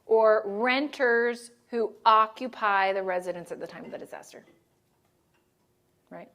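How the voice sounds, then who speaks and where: A woman's voice: medium pitch, a little slow, a bit bright, dull audio. A woman leading a training session on disaster assistance, talking casually and checking in with the group as she goes. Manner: conversational, checks in with "right?" and "okay?". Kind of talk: training presentation.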